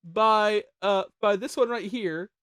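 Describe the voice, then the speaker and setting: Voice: medium pitch, takes it slowly, fairly bright tone, clean tone, dry recording. A host chatting casually while ranking songs on a panel show, jokey, profane and talkative.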